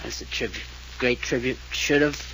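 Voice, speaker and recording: A man's voice: mid-range voice, fairly even intonation, moderate pace, fairly bright tone, natural voice. An actor playing a tipsy, flippant, well-bred man, giggly and mock-polite, in a 1940s radio mystery. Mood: wry, mocking. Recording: noisy old radio transcription.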